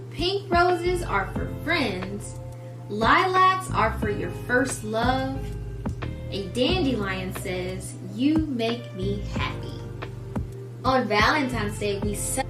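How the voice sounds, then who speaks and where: Woman, children's read-aloud host, fairly high voice, deliberate phrasing, clear music underneath, roomy distant recording. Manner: addresses young children directly, deliberate phrasing. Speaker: children's story time host.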